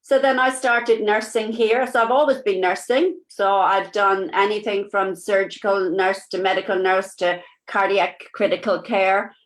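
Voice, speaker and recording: A woman in her sixties with a strong Irish accent, guest on a live-streamed video chat. She rambles warmly through her story, peppering it with "you know" and soft laughs, at a middling pitch. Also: fairly even intonation, measured pace, hardly any pauses, poor audio. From Ireland. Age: 60s. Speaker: interview guest, nurse. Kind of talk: casual life-story chat. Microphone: live-streamed video call.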